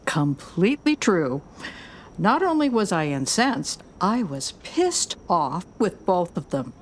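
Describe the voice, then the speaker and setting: A woman's voice: lowish voice, very animated, takes it slowly, slight background noise. An actress playing a tough, blunt American record label boss and worried mother, middle-aged, in an audio drama. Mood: defiant, blunt.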